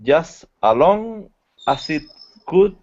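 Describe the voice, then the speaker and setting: A man from Chile, a student, reading aloud slowly and haltingly on a video call. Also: a little high, sing-song. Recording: lo-fi call with background noise.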